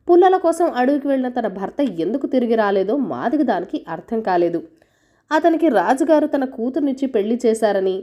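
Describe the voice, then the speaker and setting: High-pitched, expressive woman storyteller. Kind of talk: scripted narration of a folk tale for children.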